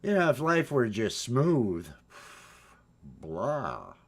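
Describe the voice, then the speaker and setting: A man with a baritone voice hosts a casual morning chat, rambling with restarts and fillers. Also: wildly swooping pitch, drawn-out words. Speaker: host.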